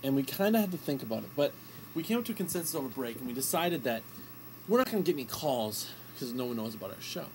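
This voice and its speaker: Young amateur radio host, very animated, goofy showman patter acting all professional. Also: medium pitch, quick pace, a little hiss or hum, low-quality recording. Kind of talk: amateur comedy radio banter.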